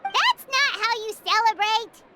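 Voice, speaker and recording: An actress playing a small, bubbly, blunt fairy-like companion in a video game, with a childlike, squeaky voice. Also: female voice, sing-song, unhurried, over loud music, full character voice. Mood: indignant.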